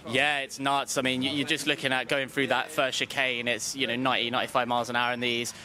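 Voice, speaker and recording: A man's voice: mid-range voice, flat delivery, quick pace, a crowd in the background. A man in his thirties, a racing driver, chatting casually into a handheld microphone in a paddock tent. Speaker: amateur racing driver being interviewed. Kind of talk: casual interview chat. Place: inside a paddock awning tent. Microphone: handheld interview microphone.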